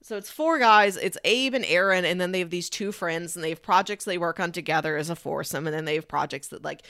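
A woman with a fairly low voice chats through a film as a podcast co-host. Her delivery is conversational and analytical, and the sound is very dry, with little room in it. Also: brisk, fairly bright tone, dry recording. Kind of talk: casual film discussion.

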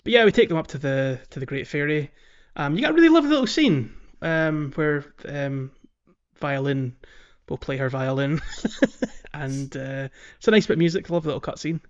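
A man's voice: fairly high pitch, lively intonation, moderate pace, clean tone, highs cut off. A man co-hosting a gaming podcast in casual chat, recapping things in a chatty, descriptive way. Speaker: podcast host.

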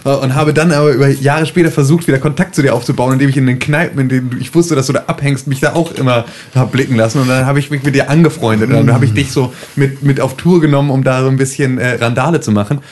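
A man's voice: mid-range voice, fairly even intonation, fast talker, hardly any pauses, darkish tone. A man improvising a roleplay story in casual chat, stammering and repeating words as he goes. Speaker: tabletop roleplay player. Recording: faint noise behind voice.

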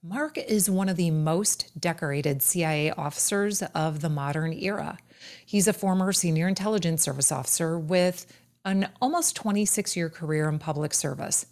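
Low-voiced woman hosting an interview. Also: a little mellow. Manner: measured, emphatic delivery with deliberate pauses. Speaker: podcast host. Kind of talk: scripted intro then interview questions.

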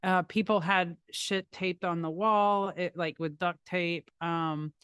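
A woman's voice: on the low side, steady tone, takes it slowly, a bit bright, clear, resonant tone, no echo, clean studio sound. A woman recounting memories in a casual conversation, talking in a hesitant way that often trails off and restarts. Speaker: podcast guest.

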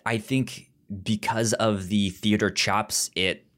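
A man co-hosting a podcast in casual film discussion, halting and often repeating words as he goes. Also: on the low side, fairly even intonation, fairly bright tone. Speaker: podcast co-host.

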